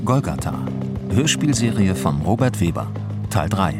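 A man's voice: low voice, very animated, moderate pace, loud music behind the voice. A man announcing the title and cast of a radio series in a scripted credits read.

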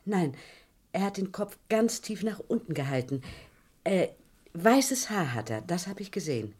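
A woman's voice: fairly low pitch, very animated, a little slow, a little mellow, slightly rough, exaggerated voice. A woman playing an anxious, kindly landlady, earnest and worried, in a German radio crime play. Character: anxious, kindly landlady, shaken female witness.

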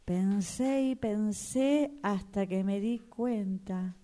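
A woman's voice: mid-range voice, takes it slowly, mellow, close to an everyday voice. A woman playing a gullible, superstitious, easily indignant woman with an Argentine accent in a radio drama, telling her troubles emphatically.

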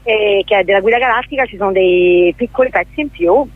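A woman's voice: medium pitch, fairly quick, no echo. A woman being interviewed on radio, explaining things spontaneously and often correcting herself as she goes. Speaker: theatre director, interview guest. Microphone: telephone. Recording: narrow, tinny telephone line.

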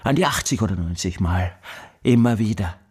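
A man's voice: lowish voice, lively intonation, darkish tone, husky. An actor playing an obsessive, tyrannical man fanatically studying hearing in a radio monologue, with intense, emphatic delivery. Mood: matter-of-fact, insistent. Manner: intense, emphatic, drawn-out delivery of key words. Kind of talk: dramatic literary radio monologue.

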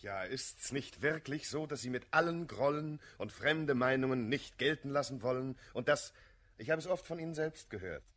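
Husky, reasonable gentleman friend. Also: medium pitch, lively intonation. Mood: reproachful. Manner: measured, reasoning. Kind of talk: German radio play, classical verse comedy.

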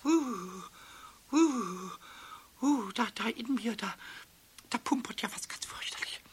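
An actor voicing a cheeky, excitable, childlike little kobold with a high, squeaky voice, stammering and repeating words in a children's radio play. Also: male voice, slow pace, husky, exaggerated voice. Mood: anxious. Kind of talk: 1970s German children's radio play acting.

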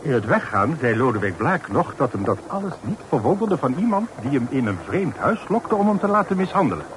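A man's voice: a little high, big pitch swings, fairly quick. An actor in a Dutch radio play, playing an earnest, deferential townsman who recounts things formally and politely. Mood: earnest, concerned. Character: earnest, deferential townsman reporting troubling news to a gentleman. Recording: noisy old radio play recording.

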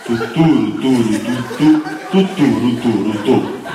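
A man on stage slowly declaiming song lyrics and wordless syllables. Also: medium pitch, over loud music. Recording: very echoey, far off, faint noise.

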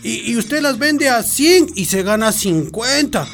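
Sly pushy young hustler, slow wheedling street talk, bit of music behind, radio play. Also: female voice, on the high side, animated. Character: sly, pushy young swindler extorting a shopkeeper. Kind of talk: radio drama acting.

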